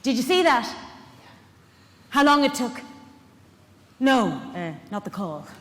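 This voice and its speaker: A woman's voice: sits high, big pitch swings, takes it slowly, exaggerated voice. An actress playing a busy, indignant care worker and mother in a chatty, emphatic stage monologue. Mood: exasperated, animated. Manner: chatty, emphatic, confiding asides to the listener. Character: Stella, busy, warm-hearted, indignant working mother and community care worker. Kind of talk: dramatic monologue reading performed live. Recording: echoey hall, live stage reading.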